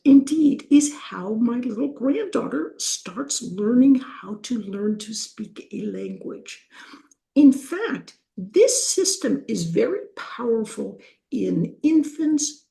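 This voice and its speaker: A woman's voice: a little high, lively intonation, vocal fry, mellow. An older woman giving a conversational university lecture with slides, sometimes restarting and drawing out key words for emphasis. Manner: conversational, self-correcting, slows down to stress key terms. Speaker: professor giving a talk.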